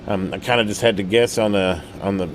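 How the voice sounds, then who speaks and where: A man with a fairly low voice talks in a colloquial, folksy manner. He rambles casually as he demonstrates hobby repair work, with a faint layer of noise behind him. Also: brisk. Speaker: radio repair hobbyist and channel host. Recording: steady background hum and noise.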